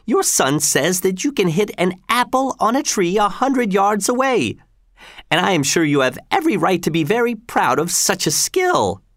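A reader putting on a sneering tyrant governor, swooping pitch. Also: sing-song, moderate pace, a bit bright, no room sound, clean studio sound. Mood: mocking. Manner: mocking, commanding, falsely reasonable. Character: sneering tyrant governor, mocking, imperious, cruel man.

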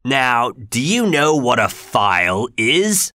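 A fearsome escaped convict threatens in slow, drawn-out words, as a man reading the story puts him on. His delivery is menacing and deliberate, and the sound is dry and close. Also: mid-range voice, very animated, sharp tone, dry recording, heavily acted. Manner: menacing, growling.

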